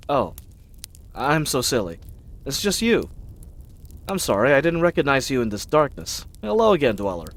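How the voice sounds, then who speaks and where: A man's voice: on the high side, full character voice. A man playing a friendly, bumbling knight in a fantasy audio roleplay, chatty and rambling, with awkward, flustered hesitations. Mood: startled, flustered. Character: friendly bumbling babbling young-hearted male knight, eager and awkward.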